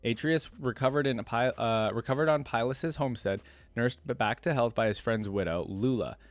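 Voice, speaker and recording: A man with an average-pitched voice hosts a reaction video. He moves between reading text aloud and casual, informal commentary, often checking in with short tag questions. The sound is dry, with hardly any room on it. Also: normal speed, no echo. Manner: informal, often checks in with tags like "right?" and "yeah?". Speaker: host of a game lore reaction video.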